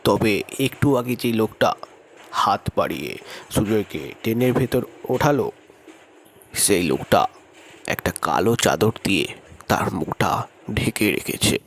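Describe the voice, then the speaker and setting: A man narrating a horror story in a measured storytelling manner. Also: lively intonation, slight background noise. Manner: measured storytelling, raises his pitch for the young hero's lines.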